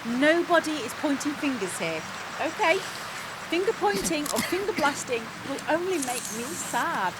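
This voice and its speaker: Bossy, high-voiced, animated manager. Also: female voice, normal speed. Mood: officious. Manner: officious, patronising, pointed. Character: bossy, self-important female workplace manager who gossips. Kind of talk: audio comedy drama acting. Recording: clear rain and outdoor ambience noise.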